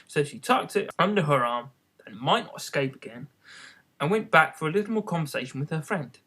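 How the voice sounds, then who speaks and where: An audiobook reader narrating a children's story in an expressive style. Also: mid-range voice, a little fast.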